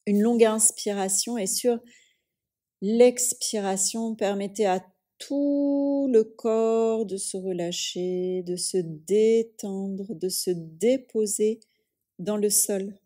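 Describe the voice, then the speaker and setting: Very slow, mellow woman guiding relaxation. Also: medium pitch. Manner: calm, soothing, measured phrases with long pauses. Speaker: yoga nidra teacher. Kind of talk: guided relaxation meditation.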